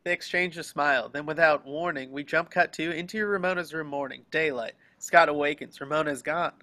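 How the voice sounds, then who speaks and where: Bright, slightly high-voiced male script narrator. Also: brisk. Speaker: narrator reading action descriptions. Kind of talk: scripted narration, screenplay table reading. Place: online group video call.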